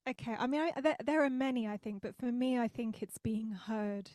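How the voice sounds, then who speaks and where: A young woman songwriter answers interview questions from her home studio. Her voice sits a little high and sounds dry and close on a studio mic. She laughs often and sounds grateful. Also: moderate pace, darkish tone, no room sound. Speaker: singer-songwriter guest. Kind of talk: casual interview chat.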